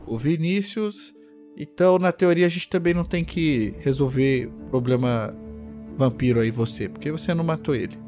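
A man's voice: on the high side, normal speed, a little mellow, faint music behind. A man playing a tabletop game, casually talking through the plan with the others.

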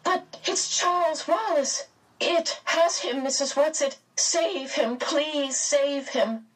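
A woman voicing an anguished, impatient young girl in a storybook, with shrill, pleading delivery. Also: takes it slowly, recorded from a distance, low-quality recording. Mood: desperate pleading.

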